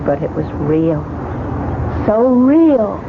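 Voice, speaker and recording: Through a very noisy, narrow old radio broadcast comes a distraught wife. Her high voice swings widely as she pleads and insists, halting as she doubts her own mind. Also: very animated. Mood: distraught, tearful. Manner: halting, pleading, emphatic repetition. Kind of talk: old radio mystery drama acting.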